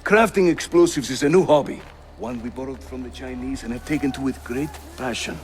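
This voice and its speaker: A man's voice: mid-range voice, a little fast, darkish tone, natural voice. A male actor playing a spirited, teasing Ottoman assassin leader in a video game, with a jovial, theatrical manner. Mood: wry, confident.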